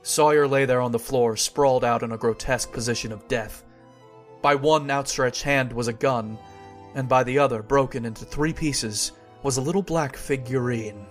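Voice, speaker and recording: A wary, skeptical male investigator played by an actor in an old-time radio horror play, with a mid-pitched voice. He tells his own dark story in a dramatic, serious manner, with music in the recording. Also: normal speed, close to an everyday voice. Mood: grim narrating.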